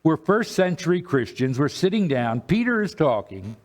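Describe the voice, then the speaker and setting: A man's voice: a little high, big pitch swings, normal speed. Man giving a chatty sermon. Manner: informal, restarts phrases, checks in with "okay?". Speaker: bishop preaching. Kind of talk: conversational sermon.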